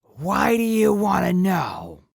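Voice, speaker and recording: A woman playing an earnest, by-the-book small-town jail deputy in an audio drama, polite and measured with a creaky voice. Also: mid-range voice, huge pitch swings, darkish tone, no room sound, clean studio sound, full character voice. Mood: guarded, polite.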